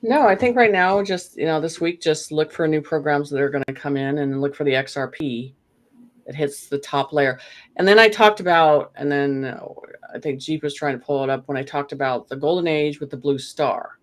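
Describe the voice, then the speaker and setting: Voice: mid-range voice, moderate pace. A guest spiritual reader in a casual chat, rambling with frequent restarts.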